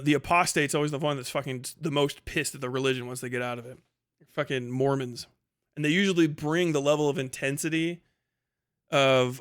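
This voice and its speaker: A man with a slightly high voice talks off the cuff as a livestream host. His commentary is casual and rambling, full of slang and swearing. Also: fairly bright tone, clean tone.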